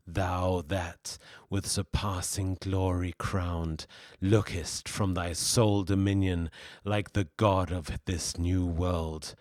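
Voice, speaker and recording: A man's voice: fairly low pitch, dry recording, clean studio sound. A man voicing a proud, bitter, anguished fallen devil in a declamatory, brooding dramatic verse soliloquy. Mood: bitter, grandiose.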